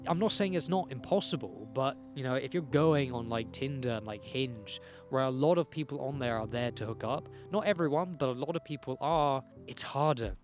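A young man from England, talking candidly and rambling to a handheld camera outdoors in a field. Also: medium pitch. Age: young adult. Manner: candid, rambling, swears and laughs often. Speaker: self-improvement vlogger. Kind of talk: casual talk to camera. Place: outdoors in a green field by a hedge. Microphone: handheld camera mic, close.

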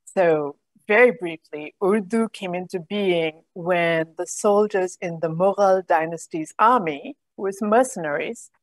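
A middle-aged woman, a guest on a video call, explaining in a measured way. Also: on the low side, no room sound, rough recording. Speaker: guest, program director.

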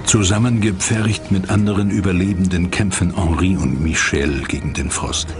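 A man's voice: deep voice, steady tone, normal speed. A man reading scripted documentary narration. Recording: loud music under the voice. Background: loud background music.

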